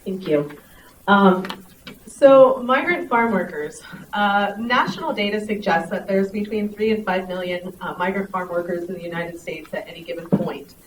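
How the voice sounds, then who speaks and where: A woman's voice: medium pitch, normal speed, slight background noise. A woman researcher speaking fluently and with engagement on a conference panel. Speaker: public health researcher, panelist. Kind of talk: panel discussion. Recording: roomy hall from a distant mic.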